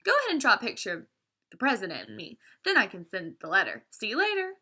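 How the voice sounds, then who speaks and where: Woman as a hurried, offhand schoolboy. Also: mid-range voice, huge pitch swings, bright, forceful tone, heavily acted. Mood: brisk, dismissive. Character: busy, self-absorbed schoolboy friend brushing someone off.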